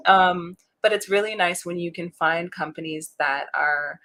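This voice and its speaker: A woman guest in a casual interview, talking about her work with a relaxing voice and an animated, laughing way of telling stories. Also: fairly low pitch, unhurried. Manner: warm, animated storyteller who laughs often. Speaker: interview guest, voice actor and creative director.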